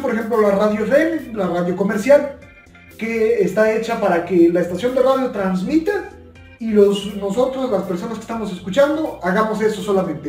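A man's voice: high voice, a little mellow. A young adult man giving an informal, earnest tutorial as a video host, often repeating and rephrasing his points. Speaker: YouTube explainer host. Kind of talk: informal spoken tutorial on two-way radios. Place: small bare indoor room, seated at table. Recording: echoey room, distant mic, faint music.

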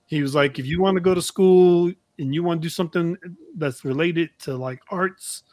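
A middle-aged man hosting a casual interview over a video call, circling toward his questions in halting, roundabout phrases. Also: fairly high pitch, darkish tone, slight background noise. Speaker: interview host. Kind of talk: casual chat. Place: indoor room, video call.

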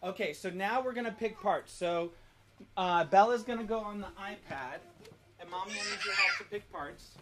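A woman's voice: mid-range voice, animated, moderate pace. A woman hosting a casual family livestream, giving children short coaching instructions like a drama leader. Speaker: host leading a family Bible activity. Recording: noisy, roomy sound, distant mic.

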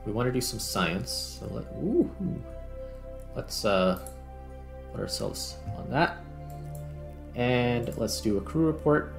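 A man's voice: medium pitch, lively intonation, slow pace, long pauses. A man hosting a gaming video, thinking aloud in casual commentary as he plays. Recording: music behind the voice. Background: background music.

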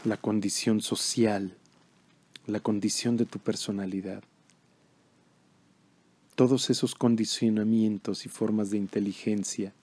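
A man leading a guided meditation in a calm, measured, instructional way. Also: lowish voice.

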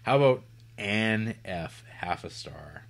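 A man's voice: lowish voice, lively intonation, slow, creaky. A man co-hosting a podcast, chatting casually with wry, dry jokes. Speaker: podcast co-host. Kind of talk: casual banter.

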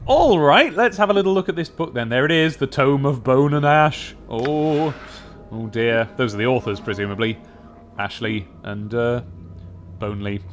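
A man's voice: mid-range voice, normal speed. A young British man giving casual, wry game commentary with rambling asides and bits of dramatic reading, close on a desk microphone. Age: young adult. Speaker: let's play host. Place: small indoor room at a desk. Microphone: desk microphone with pop filter, close. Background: game music.

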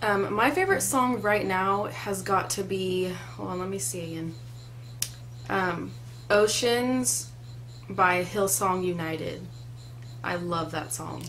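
A woman's voice: medium pitch, slow, fairly bright tone, a little hiss or hum. Chatty young woman on a webcam. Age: 20s. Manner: chatty, frequent "like" and "um" fillers. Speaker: co-host. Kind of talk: casual chat. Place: small indoor room. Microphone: webcam at desk distance.

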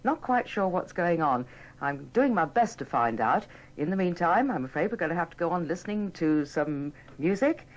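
A woman's voice: on the low side, very animated, normal speed. A British woman working as a live radio announcer, politely apologetic as she ad-libs her links. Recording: dull archive radio recording.

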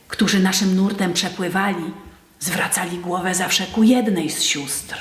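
A woman's voice: fairly low pitch, natural voice. A woman narrating river folk tales as the storyteller of an audio drama. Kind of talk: scripted fairy tale narration for an audio drama.

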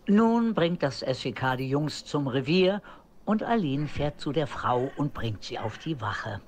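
An actor narrating a police crime radio play in a scripted storytelling manner. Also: male voice, on the high side, sing-song, measured pace, slight background noise.

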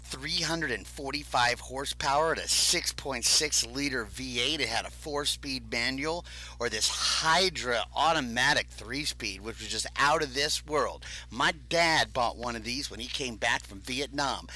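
A man hosting a live toy car auction, pitching enthusiastically and telling stories about the models. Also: a little slow, bright, forceful tone, low-quality recording. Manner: enthusiastic, storytelling sales talk. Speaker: auction host.

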